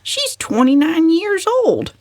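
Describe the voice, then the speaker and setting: A woman voicing a fretful older female cousin with an agonized, hushed delivery in a storybook reading. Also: extremely high pitch, wildly swooping pitch, takes it slowly, dry recording, pitched above the performer's own voice, full character voice. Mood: agitated.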